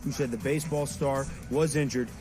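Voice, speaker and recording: A male TV anchor reads breaking news in a brisk, formal broadcast style. A loud music bed plays under his voice. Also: mid-range voice, steady tone.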